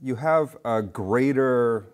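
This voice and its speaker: A man's voice: mid-range voice, takes it slowly, darkish tone, clear, resonant tone. A man around his forties, chatting in a rambling, offhand way as a studio guest on a lapel mic. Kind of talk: casual chat. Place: indoor studio set with curtains.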